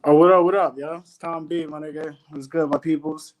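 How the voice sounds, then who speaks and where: A man joining a casual panel talk as a guest, animated and slangy, preaching his views and asking "you know what I'm saying" again and again. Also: fairly high pitch, a little fast.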